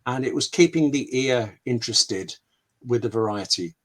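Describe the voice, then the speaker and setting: A British man speaking as a guest scriptwriter, giving conversational writing advice in an emphatic, discursive way with dramatic pauses. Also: medium pitch, expressive.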